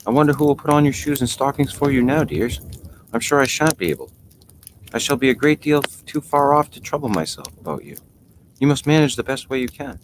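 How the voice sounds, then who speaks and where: A curious, easily puzzled little storybook girl muses aloud to herself, played by a man in his own low register. She fusses and scolds herself, with clear noise behind the voice. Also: expressive, a little fast, lightly acted. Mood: flustered, fussing. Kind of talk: storybook character reading. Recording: noisy home podcast recording.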